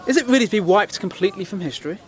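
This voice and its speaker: A man plays a British newspaperman of the Great War in an audio drama. His voice sits fairly high for a man, and he speaks with earnest, articulate scepticism and a dry, challenging edge. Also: animated, racing, a faint crowd. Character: principled, sceptical British First World War correspondent. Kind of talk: period audio drama acting.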